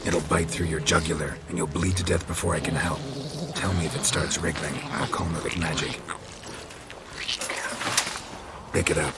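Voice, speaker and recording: A gruff monster hunter from a fantasy video game. His voice is extremely low and gravelly, delivered in terse, deadpan phrases over loud ambient noise. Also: fairly quick. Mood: stern, calm. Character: gruff, stoic adult male monster hunter.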